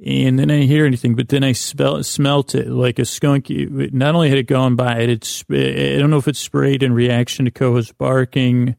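A middle-aged man hosting a sleep podcast, rambling hesitantly and often trailing off mid-thought in a gravelly voice. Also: medium pitch, flat delivery, moderate pace, few pauses, darkish tone, no echo, clean studio sound. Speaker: podcast host.

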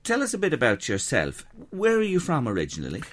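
A man hosting a radio interview in the studio, prompting his guest with gentle questions. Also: mid-range voice, wildly swooping pitch, fast. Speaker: radio presenter.

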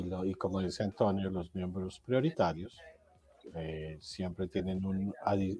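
A man's voice: fairly low pitch, mellow. A man who directs a program, speaking in casual explanatory talk and jumping in to correct and add details.

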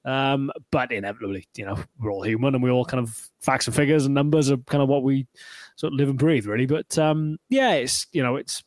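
A man's voice: medium pitch, fairly quick, a little mellow, no room sound. A Welsh man talking casually as a podcast guest, rambling with lots of fillers and restarts. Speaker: guitarist and YouTuber, guest.

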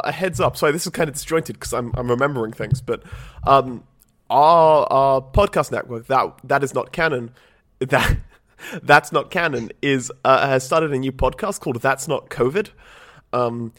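Man, casual podcast host, bright tone, loose rambling delivery, home recording. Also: mid-range voice. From Australia. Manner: rambling, many ums and restarts. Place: small home flat, blanket around the mic. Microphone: home podcast microphone.

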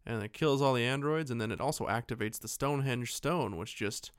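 A man co-hosting a podcast, casually retelling a film in a joking, animated way and happy to swear. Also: medium pitch, brisk, clean tone, no room sound, studio quality. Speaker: podcast co-host. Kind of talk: casual film recap chat.

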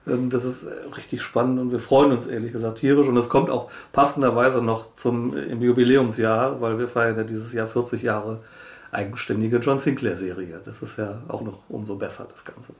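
A man's voice: mid-range voice, fairly even intonation, normal speed. A man giving an informal, spontaneous interview statement as a publishing executive. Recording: echoey room.

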